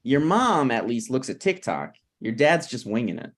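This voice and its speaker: A man's voice: mid-range voice, very animated, normal speed. A man playing an awkward, meddling friend in a sitcom table read, hesitant and apologetic yet blunt. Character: awkward, opinionated, meddling male friend of a married couple. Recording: online video call table read.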